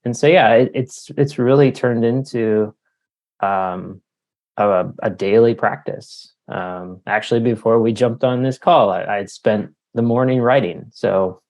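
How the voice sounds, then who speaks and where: A man in his forties giving casual, halting, self-deprecating answers as an author being interviewed. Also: medium pitch, a little mellow. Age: 40s. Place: remote call.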